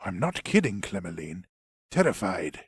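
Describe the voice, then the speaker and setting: An actor playing a guarded man who calls himself a control freak, speaking in a dry, measured way. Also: low-pitched, big pitch swings, gravelly, slightly rough, dry recording, full character voice. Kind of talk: web drama acting.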